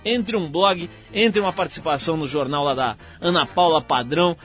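Chatty Brazilian talk show host. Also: male voice, on the high side, normal speed, fairly bright tone, faint music behind. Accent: Brazilian Portuguese. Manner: chatty, jokey, self-correcting. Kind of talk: hosted talk show introduction and interview.

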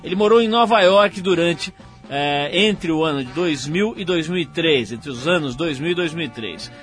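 A man's voice: fairly high pitch, lively intonation, normal speed, a bit bright, soft background music. A man with a Brazilian Portuguese accent hosting a talk show, introducing his guest and chatting in a loose, jokey way that corrects itself as he goes. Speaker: radio show host.

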